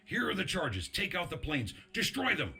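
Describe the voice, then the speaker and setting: A man's voice: sits high, wildly swooping pitch, normal speed, creaky, bright, forceful tone, husky, full character voice. An actor playing an urgent, earnest male resistance soldier in a video game cutscene, speaking in a tense, emphatic way. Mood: desperate, urgent.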